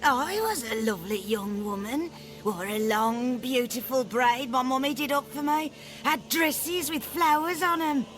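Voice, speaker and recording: An actress playing an eccentric, suspicious old peasant granny in a video game, rambling and scolding in rustic speech. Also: female voice, high voice, measured pace, fairly bright tone, soft background music. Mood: wistful rambling.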